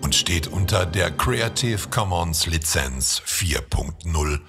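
A man with a gravelly voice reading scripted credits as an announcer. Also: deep voice, lively intonation, takes it slowly. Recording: very loud music behind.

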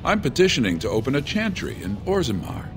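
A man voicing an earnest, pious dwarf missionary in a video game, speaking in a gently persuasive way. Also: medium pitch, huge pitch swings, quick pace. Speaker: preacher. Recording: game audio with music underneath.

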